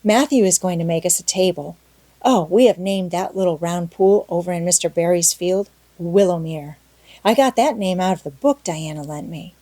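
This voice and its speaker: A woman acts out a young orphan girl in an audiobook. She is talkative and dreamy, gushing with earnest drama. The tone stays soft and mellow, recorded very dry. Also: fairly low pitch, slightly rough, dry recording. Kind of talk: audiobook character acting.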